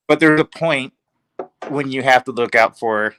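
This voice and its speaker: A man's voice: mid-range voice, a little slow, a bit bright. A man speaking as a panelist in a casual discussion, giving his opinions emphatically.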